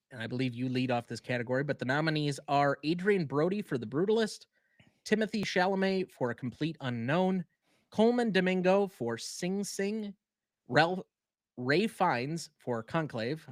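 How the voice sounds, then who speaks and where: A man's voice: a little high, dry recording, clean studio sound. A man co-hosting a podcast in casual chat, giving opinions in an emphatic way. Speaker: podcast co-host.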